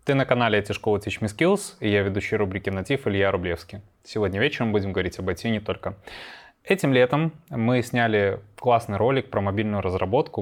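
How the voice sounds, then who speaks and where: Fast-talking male interview host. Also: lowish voice. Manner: chummy, playful, addresses guest familiarly. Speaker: podcast host interviewing a guest. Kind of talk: conversational interview.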